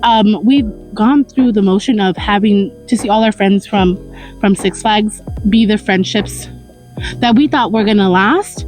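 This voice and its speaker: A woman's voice: medium pitch, unhurried, a little mellow, soft background music, poor audio. A woman in her early thirties with an American accent, chatting casually and reminiscing as a vlog co-host in bubbly, slangy, informal speech. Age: early 30s. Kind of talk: casual chat reminiscing with a friend. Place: outdoors in a park.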